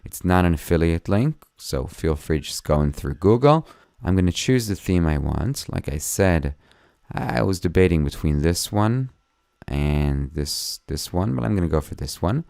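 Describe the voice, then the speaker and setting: Hoarse low-voiced man giving a tutorial. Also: lively intonation, a little mellow, no echo, clean studio sound. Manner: plain step-by-step explaining, friendly and unhurried. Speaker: web design tutor. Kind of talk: screen-recorded tutorial narration.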